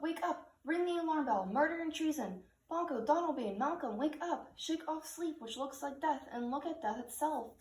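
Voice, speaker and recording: An earnest nobleman voiced by a woman declaims urgently in a puppet play. The voice is high and rings in an echoey room. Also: a little mellow, natural voice. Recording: roomy, echoing room sound.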